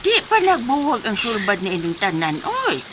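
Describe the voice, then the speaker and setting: A woman's voice: medium pitch, big pitch swings, moderate pace, heavy background noise, natural voice. A woman playing a fussing, scolding grandmother and mother-in-law in a radio drama, with a Cebuano accent. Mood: worried scolding. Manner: scolding, fretful. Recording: loud rain and street ambience.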